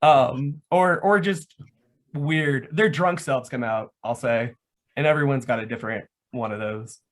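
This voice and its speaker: A man's voice: mid-range voice, measured pace. A man chatting casually in a panel discussion, informal and hedging as he goes. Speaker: panel guest and larper.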